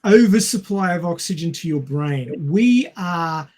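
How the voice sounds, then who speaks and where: A man's voice: high voice, expressive, a little slow, darkish tone. A man co-hosting a podcast, explaining science informally in a didactic manner. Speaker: podcast co-host.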